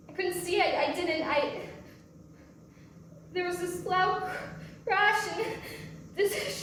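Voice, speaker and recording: An actress playing a troubled, guilt-ridden mother who has struggled with drinking, speaking haltingly in a staged play reading. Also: extremely high pitch, a bit bright, full character voice. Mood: distraught. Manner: halting, stammering delivery. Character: troubled recovering-alcoholic mother, hesitant, guilt-ridden, confused. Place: large echoey room, live staged reading. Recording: echoey hall from far off, noisy.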